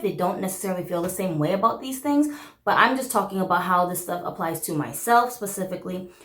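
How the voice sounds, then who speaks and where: Woman host in her thirties, korean native speaker, talks openly and casually, mid-pitched, roomy echo. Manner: candid, chatty, frequent "like" and "um" fillers. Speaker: channel host. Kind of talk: casual chat to camera.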